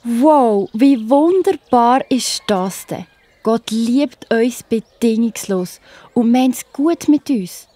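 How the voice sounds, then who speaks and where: A woman's voice: a little high, lively intonation, slow, mellow, no echo. A woman with a Swiss accent narrating a children's story, speaking directly to the young listener with wonder.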